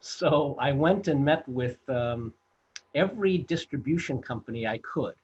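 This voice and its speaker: Director in his 60s being interviewed, slightly raspy, halting storyteller, dull video-call audio. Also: mid-range voice, lively intonation, darkish tone. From United States. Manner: halting, many fillers and restarts. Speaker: film director and producer, guest.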